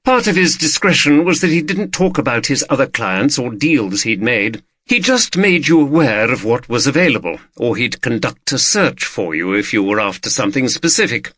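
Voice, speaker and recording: A man's voice: mid-range voice, sing-song, normal speed, hardly any pauses, pushed and bright, no echo. A man voicing a wealthy, haughty woman collector in an audiobook, gracious, discreet and imperious. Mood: discreet, assured.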